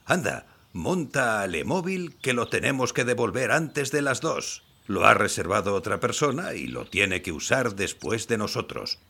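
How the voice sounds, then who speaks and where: A man acting a kindly, modest old grandfather and former scientist in an audio drama, explaining things in a measured way. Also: fairly low pitch, sing-song, vocal fry, sharp tone, breathy edge, full character voice. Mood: warm, reflective. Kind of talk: scripted audio fiction acting.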